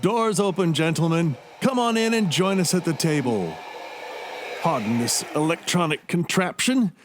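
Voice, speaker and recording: A man's voice: a little high, animated, moderate pace, background noise, lightly acted. A man as an exuberant, swooping genius doctor. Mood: effusive, welcoming. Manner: effusive, theatrical, genteel. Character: exuberant, courteous paralyzed male genius surgeon. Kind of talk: radio play acting.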